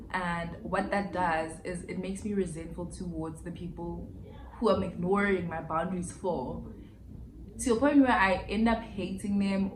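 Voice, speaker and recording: A woman, chatting candidly and reflectively through a casual life update vlog, with slangy asides. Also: on the low side. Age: 20s. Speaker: vlogger. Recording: echoey room with faint background hiss.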